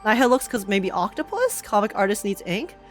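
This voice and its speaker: A woman giving casual, excited live commentary on a video game as a streamer, rambling and emphatic with plenty of slang. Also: medium pitch, a little fast, soft background music.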